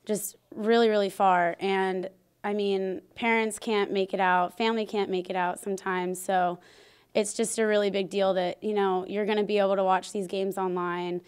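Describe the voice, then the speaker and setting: A young woman with a smooth, clear voice and a fairly flat, even pitch. She speaks earnestly and with some excitement, answering interview questions into a handheld mic in a team locker room. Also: normal speed. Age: 20s. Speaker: college softball player. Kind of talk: interview soundbite.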